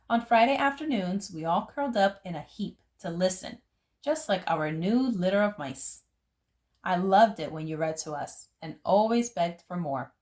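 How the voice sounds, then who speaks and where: A woman reading a picture book aloud as a storyteller, phrasing it deliberately for young listeners. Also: lowish voice, a little mellow. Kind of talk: expressive picture book narration.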